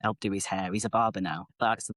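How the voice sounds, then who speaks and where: Middle-aged brit guy opening up about his past, lowish voice, dry close sound, talks freely and corrects himself a lot. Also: fairly even intonation, brisk, fairly bright tone, dry recording. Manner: open, reflective, chatty, self-correcting. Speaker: guest. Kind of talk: candid personal storytelling.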